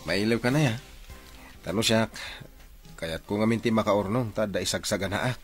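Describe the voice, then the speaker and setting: A lovestruck, earnest young Ilocano man acted by a male performer in a radio drama. He speaks in a fairly low voice with a sincere manner. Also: moderate pace, faint music behind, close to an everyday voice.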